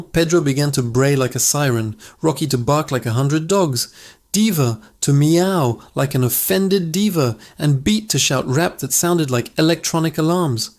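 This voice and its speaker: A man reading a children's fable aloud in calm, even scripted narration. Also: on the high side.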